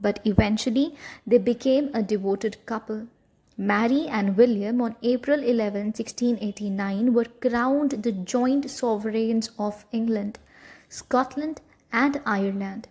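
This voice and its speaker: A woman's voice: fairly high pitch, a little slow, darkish tone, slightly rough. A woman reading scripted educational narration for a short history film.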